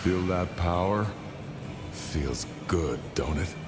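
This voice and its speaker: An actor playing a gruff, laconic vampire man in a video game, with a casual, clipped way of talking. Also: deep voice, takes it slowly, music in the background. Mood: grudging, teasing.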